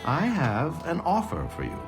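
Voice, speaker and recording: A middle-aged corporate villain in a dramatic game cutscene. He is condescending and falsely soothing, with very wide swings of pitch over a cold menace. Music plays clearly behind him. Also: huge pitch swings, normal speed. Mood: coolly menacing. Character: smooth, coldly menacing corporate executive villain.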